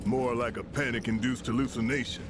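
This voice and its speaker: A man playing a gruff, brash rebel leader in a video game, blunt and commanding. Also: mid-range voice, steady tone, a little fast, background noise, lightly acted. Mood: scornful.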